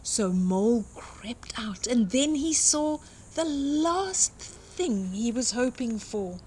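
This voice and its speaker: A woman's voice: on the high side, animated, takes it slowly, darkish tone, slight background noise. An older woman reading a picture book aloud expressively to young children, with simple explanatory asides. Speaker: children's storytime reader and host.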